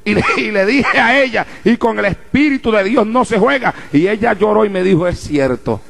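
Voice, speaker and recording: A man preaching a fervent sermon to a congregation, rising into shouts and calling out rhetorical questions. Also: sits high, fairly quick, creaky. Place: church before a congregation.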